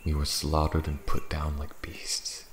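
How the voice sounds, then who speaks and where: A man playing a proud, bitter, ancient dragon in a fantasy ASMR roleplay, brooding and scornful, theatrical, dipping into hushed breathy tones. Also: a bass voice, completely flat delivery, unhurried. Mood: bitter, menacing. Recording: roomy echo, faint background noise.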